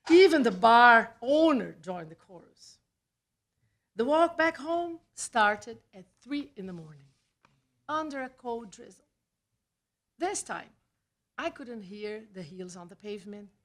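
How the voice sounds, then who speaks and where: A woman with a Brazilian accent, a native Portuguese speaker, reads a personal essay aloud at a live event, with deliberate phrasing and dramatic pauses. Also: on the high side, fairly bright tone. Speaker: writer reading own personal essay. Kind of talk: scripted storytelling read aloud at a live event. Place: small theatre, live reading event.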